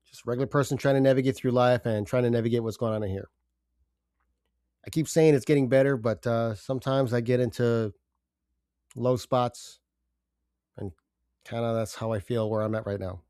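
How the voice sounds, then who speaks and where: An older man hosting a personal podcast, congested from a cold, speaking in a halting, subdued way with pauses and fillers. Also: medium pitch, steady tone, mellow, clear, resonant tone, no room sound, studio quality. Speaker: podcast host. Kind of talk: personal confessional monologue.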